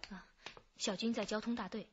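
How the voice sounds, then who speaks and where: An actress playing a shrewd, businesslike female detective who reasons deliberately in a radio drama. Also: medium pitch, fairly even intonation, lo-fi sound, a little hiss or hum, close to an everyday voice. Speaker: actor in a police radio drama. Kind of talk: Chinese radio drama acting.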